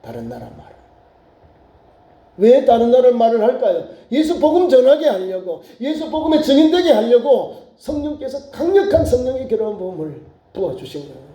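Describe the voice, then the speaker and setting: Male pastor, high voice, very dark mellow tone, animated preaching, echoey church. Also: a little fast. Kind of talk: sermon. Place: church sanctuary.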